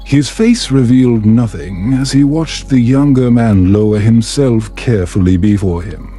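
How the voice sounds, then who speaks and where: A man narrating a bedtime story in a calm, soothing, measured manner. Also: medium pitch, faint music behind.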